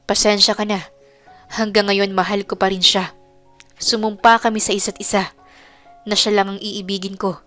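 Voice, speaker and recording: A woman's voice: mid-range voice, flat delivery, normal speed, pauses often, a bit bright, faint music behind, close to an everyday voice. A woman voicing a lovelorn, faithful young man in a romance audio drama, earnest and firm. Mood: firm, apologetic.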